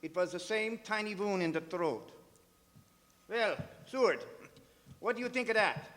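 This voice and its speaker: An actor playing a grave, urgent professor who hunts vampires, speaking emphatically and portentously in radio drama style. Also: male voice, high-pitched, lively intonation, measured pace, fairly bright tone. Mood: portentous, insistent. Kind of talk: theatrical radio drama acting. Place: performing arts center stage. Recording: echoey theatre hall with music.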